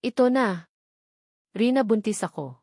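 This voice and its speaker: A woman's voice: fairly high pitch, expressive, unhurried, darkish tone, breathy edge, no room sound, clean studio sound, full character voice. A woman with a Filipino accent voicing a hurt, resolute young heroine in an audio story, earnest and guarded. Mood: confessing.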